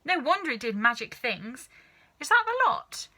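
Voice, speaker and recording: Woman voicing a manic, excitable storybook dad, wildly swooping. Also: sits high, pitched above the performer's own voice, exaggerated voice. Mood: delighted. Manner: exclaiming, urgent, swooping emphasis with drawn-out words. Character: manic, excitable middle-aged father, a farmer dreaming of riches. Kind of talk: children's storybook character reading.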